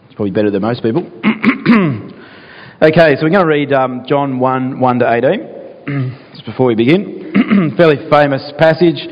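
A man with a croaky voice, earnest and pausing with fillers, praying and reading scripture as a pastor in a sermon. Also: mid-range voice, animated. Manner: earnest, with frequent fillers and restarts. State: croaky, clearing his throat. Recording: dull sound, long church reverb.

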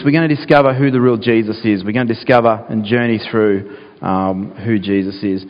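A man with a croaky voice, earnest and pausing with fillers, praying and reading scripture as a pastor in a sermon. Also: mid-range voice. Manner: earnest, with frequent fillers and restarts. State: croaky, clearing his throat. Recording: dull sound, long church reverb.

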